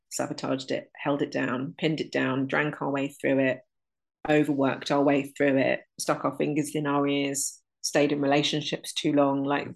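A woman's voice: deep voice, gravelly. A woman, talking earnestly and unhurriedly as an interview guest. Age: 30s. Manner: earnest, unhurried, lists things in runs. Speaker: guest, energy alignment teacher. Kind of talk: reflective interview talk.

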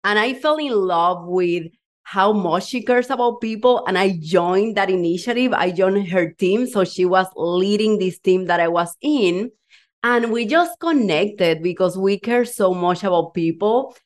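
A woman's voice: medium pitch, a little slow. A woman hosting a podcast as a coach, interviewing a guest in an enthusiastic, effusive way. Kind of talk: warm interview chat.

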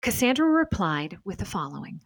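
A woman hosting and narrating a scripted documentary in a measured, articulate storytelling manner. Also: medium pitch, big pitch swings, slightly rough, no echo. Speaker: podcast host and narrator.

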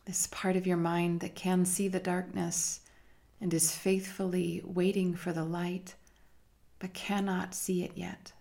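A woman leading a guided meditation in a measured, hushed manner with long pauses between phrases. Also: lowish voice, little pitch movement, creaky. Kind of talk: slow guided meditation narration.

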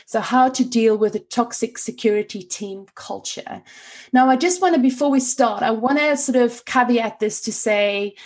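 A woman's voice: a little high, normal speed. A woman whose first language is Afrikaans, hosting an interview and setting up questions for her guest. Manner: warm praise, framing questions with caveats. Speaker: interview host.

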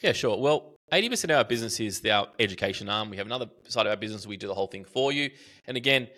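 Chatty Australian man, lowish voice. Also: racing. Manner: animated, storytelling with restarts and fillers. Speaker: podcast guest, marketing educator. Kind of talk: casual interview chat.

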